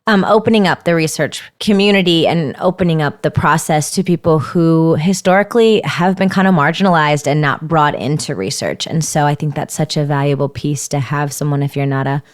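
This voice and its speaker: A woman's voice: sits low, fairly quick, hardly any pauses. A woman hosting a podcast, asking questions in a friendly manner that laughs easily. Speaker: podcast host. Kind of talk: interview conversation.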